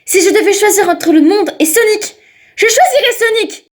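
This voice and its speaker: A woman's voice: extremely high pitch, expressive, moderate pace. A woman voicing a devoted, stubborn young hedgehog girl in an amateur French game fan dub. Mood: firm, upset. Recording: background music under the voice.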